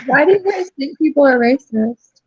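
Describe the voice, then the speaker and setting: A woman's voice: sits high, lively intonation, normal speed, no echo. A woman chatting casually in a group, offhand, tossing out abrupt questions. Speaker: chat participant. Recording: dry, dull lo-fi recording.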